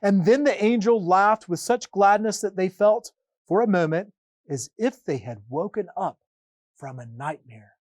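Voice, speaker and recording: Slow, very lively male storybook reader. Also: sits high, no echo. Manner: dramatic, deliberate phrasing with pauses. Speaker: priest reading a Bible story for children. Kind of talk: expressive children's storybook reading.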